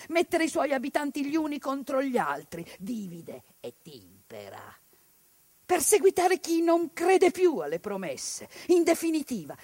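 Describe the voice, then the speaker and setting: High-voiced dramatic woman narrator. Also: no echo. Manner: dramatic, shifting between hushed and declaimed. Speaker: stage monologue performer. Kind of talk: theatrical monologue narration.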